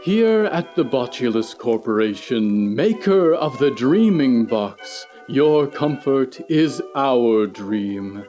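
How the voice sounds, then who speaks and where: A man's voice: mid-range voice, big pitch swings, warm tone, faint music behind. A man playing a theatrical megacorporation spokeswoman in a mock advertisement for an audio drama. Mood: saccharine salesmanship. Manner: slow, drawn-out sales delivery. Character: smooth, theatrical megacorporation spokeswoman selling dreams.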